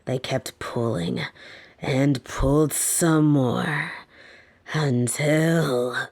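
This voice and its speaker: A woman playing a sadistic, softly menacing lamia captor in a fantasy audio roleplay, talking with deliberate, teasing bedtime-story delivery. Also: extremely low pitch, heavily acted. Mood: soothing storytelling.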